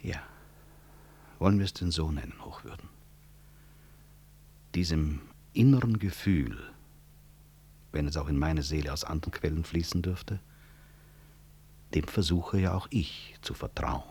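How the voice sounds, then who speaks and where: An actor playing a proud, composed middle-aged physician and professor in a 1960s radio play, formal and measured, husky, with grave irony. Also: male voice, very deep voice, lively intonation, warm tone, full character voice. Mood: grave, ironic.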